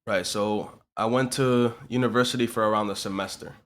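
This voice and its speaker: Low-voiced young man from Miami. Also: steady tone, moderate pace. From Miami, USA. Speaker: interview guest, online business owner. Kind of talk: casual interview answers.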